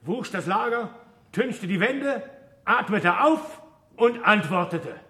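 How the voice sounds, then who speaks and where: An actor playing a famous physicist in a German radio play, wry, stubborn and didactic, with a declamatory manner. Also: male voice, high voice, expressive, pushed and bright, exaggerated voice. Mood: measured storytelling. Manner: deliberate, declamatory storytelling and teaching.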